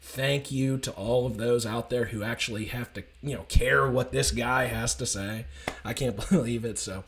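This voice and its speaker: Man, slightly hoarse, enthusiastic, rambling football recap, mid pitch. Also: fairly even intonation, moderate pace, a bit bright. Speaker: college football fan commentator. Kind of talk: casual game recap monologue.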